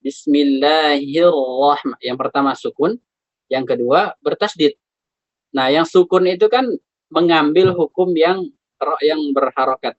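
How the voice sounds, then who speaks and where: A man teaching Quran recitation on a video call, relaxed and chatty, gently correcting his students' pronunciation. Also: on the high side, a little slow, no room sound, dull audio. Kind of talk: online tajwid class, correcting students.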